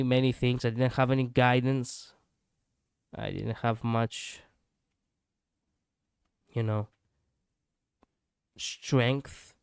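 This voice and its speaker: A man's voice: medium pitch, a little mellow, clear, resonant tone, no echo, clean studio sound. A male streamer chatting casually and reflectively, poking fun at his own slip-ups. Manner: musing, self-deprecating, laughs at own slips. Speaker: VTuber streamer.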